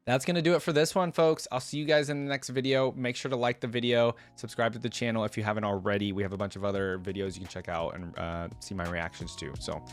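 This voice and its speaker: Low-voiced man, casual reaction host. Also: dry recording. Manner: conversational, loosely thinking aloud. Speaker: host of reaction video channel. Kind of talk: casual reaction commentary to viewers.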